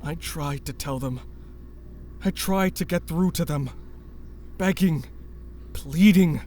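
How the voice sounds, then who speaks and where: A man's voice: a little high, slow, darkish tone, a little hiss or hum. A man playing an earnest, guilt-ridden former cult member turned rebel in a sci-fi audio drama. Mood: remorseful, confessional.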